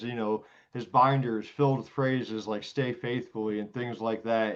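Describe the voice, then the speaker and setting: Blunt guy hosting a critical panel talk, mid pitch, kinda muffled lo-fi sound. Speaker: panel host. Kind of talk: unscripted critical commentary. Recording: dull, lo-fi audio.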